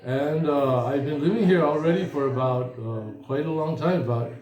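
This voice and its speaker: A man in his fifties from the United States, an attorney answering interview questions slowly with many pauses and fillers. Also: a little high, darkish tone, reverberant, poor audio. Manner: slow, hesitant, many fillers.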